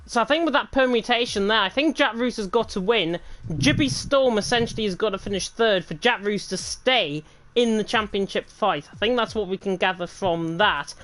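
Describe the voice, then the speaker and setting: A woman co-commentating an online racing league, talking quickly and leaning hard on the numbers. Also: mid-range voice, low-quality recording. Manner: fast-talking, lively and emphatic. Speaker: esports race commentator. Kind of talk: live sim racing commentary.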